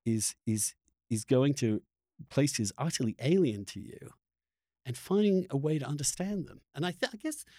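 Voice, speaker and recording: Impassioned, halting man, lively swooping pitch. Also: no room sound, clean studio sound. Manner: halting, with repeated words and restarts when worked up; earnest, emphatic. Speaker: podcast host interviewing a guest. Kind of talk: impassioned conversational interview.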